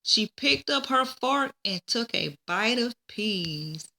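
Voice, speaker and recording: A woman reading a children's story aloud in a deliberate way, now and then stumbling and repeating words. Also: on the high side, expressive, creaky, sharp tone, a little hiss or hum, rough recording. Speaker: teacher reading a book aloud. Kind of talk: children's read-aloud narration.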